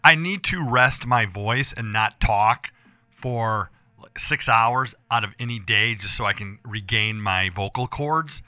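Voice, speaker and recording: An American man hosting a casual radio show with a hoarse voice, talking in a rambling, emphatic way and repeating himself as he addresses listeners. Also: lowish voice, measured pace, sharp tone, dry recording. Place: radio studio.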